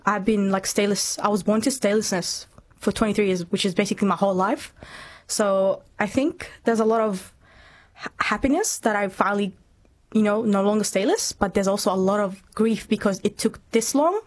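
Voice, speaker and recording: A woman's voice: mid-range voice, a little fast. A woman in her twenties from Myanmar, speaking earnestly and reflectively as an interview guest. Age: 20s. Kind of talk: personal testimony in interview.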